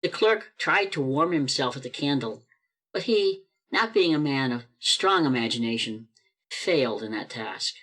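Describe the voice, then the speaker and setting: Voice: medium pitch, lively intonation, fairly bright tone. Theatrical narrator with emphatic phrasing. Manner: deliberate, emphatic, dramatic phrasing. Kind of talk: theatrical storybook narration for a radio play. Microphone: video call microphone.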